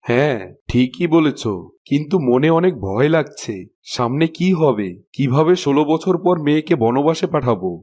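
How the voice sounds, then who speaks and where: A man's voice: fairly high pitch, expressive, normal speed, a little mellow. A man voicing an anxious fairy-tale king, a fond husband and father, earnest and pleading in a cartoon. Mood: worried, tender. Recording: music behind the voice. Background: music.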